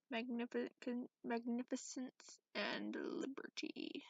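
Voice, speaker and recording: A woman plays an ancient, cranky dragon in an ASMR roleplay, speaking slowly in a fairly high voice. The delivery is soft, haughty and sarcastic, with grudging manners. The recording is dull and lo-fi. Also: creaky, dry recording, heavily acted. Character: cranky sarcastic centuries-old dragon who looks young, reading tarot. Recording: dull lo-fi microphone sound.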